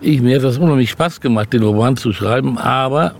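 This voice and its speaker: A man's voice: mid-range voice, brisk, vocal fry, a little hiss or hum. An older man, a novelist, chatting in an interview and rambling through anecdotes. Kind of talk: casual interview chat.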